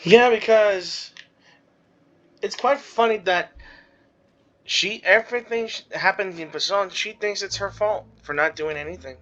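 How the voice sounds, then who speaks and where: A woman's voice: mid-range voice, measured pace, a bit bright. A woman giving casual gameplay commentary with wry, offhand asides. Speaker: gaming video creator. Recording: dull lo-fi microphone, faint music behind. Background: faint game music.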